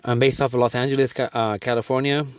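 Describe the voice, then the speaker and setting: A man's voice: mid-range voice, little pitch movement, quick pace, clean tone, dry recording. A young man from Guatemala giving an earnest, rambling video update, often restarting his sentences. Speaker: vlogger.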